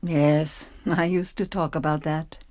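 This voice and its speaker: A woman's voice: sits low, steady tone, unhurried, darkish tone, no echo. A woman acting a restless, dissatisfied fisherman's wife in a radio play. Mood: subdued, resigned.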